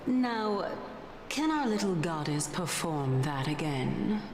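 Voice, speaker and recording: A woman's voice: on the low side, big pitch swings, takes it slowly, roomy sound, background noise. A woman playing a sly, elegant sage in a video game, speaking with coy, teasing condescension.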